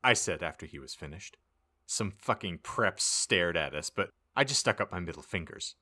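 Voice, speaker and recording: Deep, animated male comic narrator. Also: moderate pace. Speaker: podcast host reading aloud. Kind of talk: dramatic comic audiobook narration.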